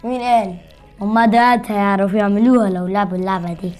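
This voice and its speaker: A woman's voice: medium pitch, steady tone, rapid-fire, music in the background. A woman with an Egyptian accent chatting casually and teasingly as she hosts a gaming video. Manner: playful, teasing. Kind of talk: casual chat while playing a game.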